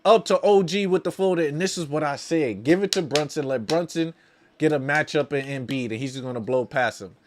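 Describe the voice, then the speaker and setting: Man, fairly high voice, live basketball commentary, slangy, partisan fan host, emphatic repetition. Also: brisk, a little hiss or hum. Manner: repeats phrases emphatically. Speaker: host of a fan watch-along.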